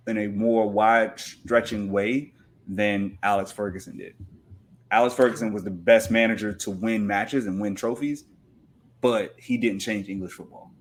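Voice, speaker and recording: A man giving casual sports analysis as a podcast guest, assertive and explanatory, using rhetorical questions. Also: lowish voice.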